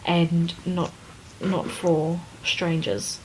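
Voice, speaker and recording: A woman's voice: on the low side, little pitch movement, drawn-out words, poor audio. A woman co-hosting a casual podcast book discussion, thinking aloud hesitantly and circling back over her phrases. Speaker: podcast co-host.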